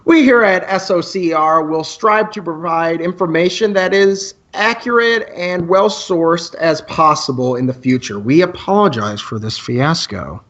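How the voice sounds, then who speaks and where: A man's voice: fairly high pitch, measured pace, hardly any pauses, a bit bright, highs cut off. A man playing an earnest, polite student presenting a campus radio news show in a radio play, mixing mock-formal announcements with dry asides. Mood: formal, apologetic. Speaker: radio co-host character.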